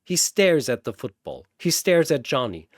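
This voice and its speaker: A man's voice: medium pitch, sing-song, normal speed, no room sound, clean studio sound. A man giving theatrical, scripted narration of a fantasy battle story. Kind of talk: dramatic scripted fan-fiction battle narration.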